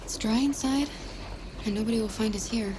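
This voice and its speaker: An actress playing a gentle, protective female android in a video game, speaking softly and earnestly with a reassuring manner. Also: on the high side, fairly quick, noisy background, natural voice. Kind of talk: naturalistic video game acting. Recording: music and rain behind.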